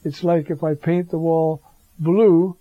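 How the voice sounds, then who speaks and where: A hoarse man giving a slow, reflective homily as a priest, halting often with fillers. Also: a little high, flat delivery, no room sound, narrow, tinny audio.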